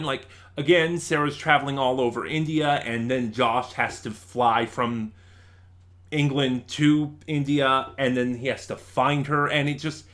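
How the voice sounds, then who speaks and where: A man giving a casual, enthusiastic review in a ranking countdown, speaking haltingly with emphatic stresses. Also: medium pitch, fairly bright tone. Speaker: reviewer and channel host.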